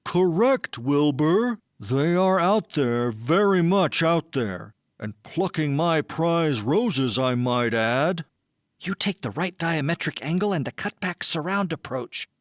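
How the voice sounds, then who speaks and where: A man's voice: fairly high pitch, lively intonation, takes it slowly, no room sound, clean studio sound, pitched below the performer's own voice. A man voicing a prim, pedantic character who gives wordy, commanding orders in an audiobook. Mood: indignant, commanding.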